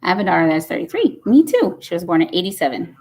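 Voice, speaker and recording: A woman's voice: on the low side, very animated, fast, darkish tone, slightly rough. A woman, hosting a casual live stream and chatting folksily as she reads comments aloud. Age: 50s. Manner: chatty and folksy, says y'all.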